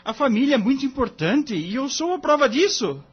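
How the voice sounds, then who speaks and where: An actor playing an earnest, upright young lawyer with a Brazilian accent, polite and sincere, in an audio drama. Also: male voice, very high-pitched, very animated, brisk, husky, exaggerated voice. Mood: earnest, firm. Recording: dull lo-fi audio, music underneath.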